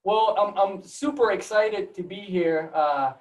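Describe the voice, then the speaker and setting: High-voiced Puerto Rican man, slightly distant mic. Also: a little slow. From Puerto Rico. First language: Spanish. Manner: long-winded, repeats words as he goes. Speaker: guest seminar speaker, biology professor. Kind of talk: informal storytelling academic talk. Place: remote video call.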